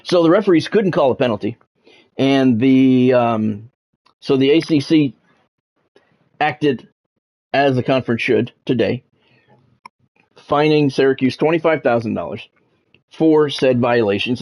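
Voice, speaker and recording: A man with a medium-pitched voice talks casually as a livestream host running through sports updates. His delivery is halting, and he often doubles back to repeat a word or phrase for emphasis. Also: darkish tone. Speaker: livestream host, former radio play-by-play announcer.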